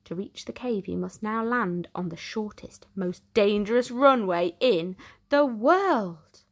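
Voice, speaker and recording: A woman reading a children's storybook aloud in an expressive, theatrical way with deliberate emphasis. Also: mid-range voice. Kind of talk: dramatic storytime narration for young children.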